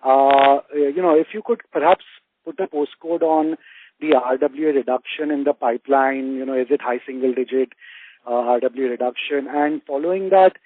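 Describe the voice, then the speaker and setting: Guy on a phone line asking analyst questions on an earnings call, kinda halting, narrow radio-like sound. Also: medium pitch, fairly even intonation, dry recording. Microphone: phone conference call.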